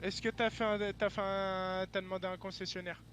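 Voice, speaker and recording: Confrontational high male voice, insistent arguer in game chat, clear car noise. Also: flat delivery, brisk, a bit bright. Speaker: game server defender in roleplay chat. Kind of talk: heated casual argument.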